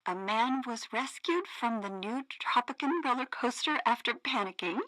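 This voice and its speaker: Sassy female radio news co-host, peppy and bright, close dry studio sound, satirical game broadcast. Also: on the high side, normal speed, no room sound, poor audio. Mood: wry, reporting. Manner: animated, exaggerated newsreader delivery.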